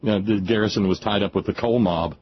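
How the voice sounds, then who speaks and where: A man hosting a call-in radio talk show, chatting in a halting way and often repeating his words. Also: on the low side, steady tone. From West Virginia. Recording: dull radio broadcast with faint noise.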